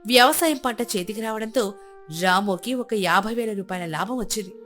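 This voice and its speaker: A woman narrating a scripted storybook moral tale in a steady, expressive way. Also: mid-range voice, normal speed, soft background music. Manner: steady, clear, expressive telling.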